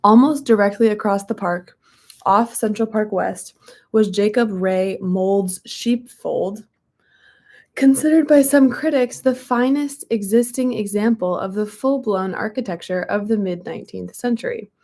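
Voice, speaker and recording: A young woman with a hoarse voice, chatting casually and reading a book aloud, with frequent ums and likes. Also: medium pitch, unhurried, a little mellow.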